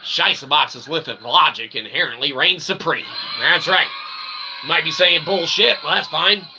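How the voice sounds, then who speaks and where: A man with a fairly high, gravelly voice rambles through a comic mock-philosophical monologue. His phrasing is pompously wordy, broken up by crude language and little tag questions. Clear ambient noise sits behind him. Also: unhurried. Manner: punctuates statements with short tag questions. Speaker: solo streamer and channel host. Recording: clearly noisy, with ambient background sound.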